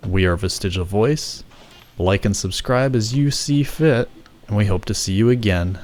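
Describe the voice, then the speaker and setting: A man's voice: on the low side, moderate pace, darkish tone. A man reading scripted how-to narration in a step-by-step tutorial, as its narrator. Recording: clear background noise.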